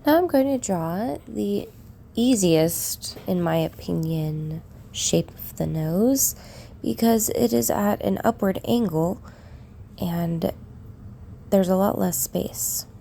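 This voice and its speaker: A woman with a croaky, gravelly voice from a cold talks slowly through a drawing lesson. Her tone is dark and mellow, and she narrates as she works. Faint noise sits under the recording. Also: mid-range voice. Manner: unhurried, talks while shading. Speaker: art tutorial narrator. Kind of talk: relaxed drawing tutorial voice-over.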